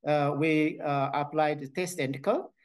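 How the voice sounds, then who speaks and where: A man with an Ethiopian accent delivers a scientific conference talk, his voice sitting a little higher than most men's. He moves through his slides haltingly, filling gaps with frequent pauses and restarting phrases. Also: fairly even intonation. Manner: hesitant, many filled pauses and restarts. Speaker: veterinary researcher presenting. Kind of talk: conference presentation.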